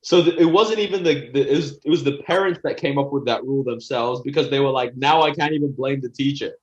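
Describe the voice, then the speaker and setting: A man's voice: mid-range voice, fast talker, lo-fi sound. A young man with an Australian accent, joking around in casual podcast chat. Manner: joking, laughs a lot. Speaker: podcast co-host.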